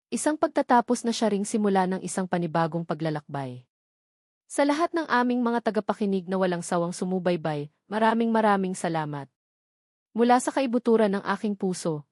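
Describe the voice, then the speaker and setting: A woman's voice: medium pitch, a little mellow, no room sound, studio quality. A woman storyteller giving a scripted, dramatic narration of a romance story.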